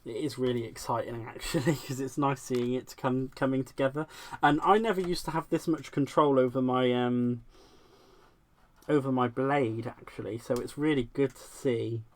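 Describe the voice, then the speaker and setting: A man's voice: medium pitch, steady tone, moderate pace. A man with a West Country accent chats casually and laughs often while he works on a craft project during a livestream. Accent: West Country English. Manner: chatty, self-deprecating, laughs often. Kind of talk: casual live-stream chat while crafting.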